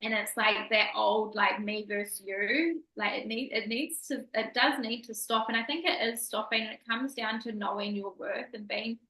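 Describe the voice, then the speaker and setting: Woman, bright tone, earnest, emphatic, podcast host, echoey room. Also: fairly high pitch, steady tone, normal speed, hardly any pauses. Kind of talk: casual interview chat.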